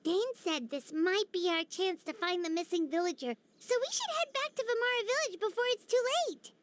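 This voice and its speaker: A woman voicing a small, chatty, earnest fairy companion in a video game, with a squeaky childlike voice and chirpy delivery. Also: normal speed. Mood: thoughtful. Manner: chirpy, expressive. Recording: music behind the voice.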